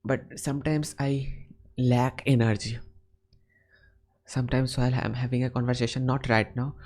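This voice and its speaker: Indian man, mellow halting talk. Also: medium pitch, little pitch movement. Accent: Indian English. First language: Bengali. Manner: halting, restarts phrases. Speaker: teacher and host. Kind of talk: casual conversation.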